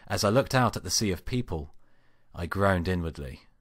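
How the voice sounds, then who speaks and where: A man's voice: deep voice, expressive, normal speed, dry recording, clean studio sound. A man who is an amateur story reader, both chatting casually and narrating fan fiction.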